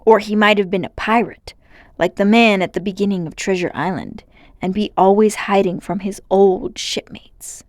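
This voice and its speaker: A woman voicing an excitable, brooding and adventurous schoolboy in a storybook, speaking emphatically. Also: mid-range voice, normal speed, no room sound, studio quality, close to an everyday voice. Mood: dark, conspiratorial. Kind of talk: storybook character voice.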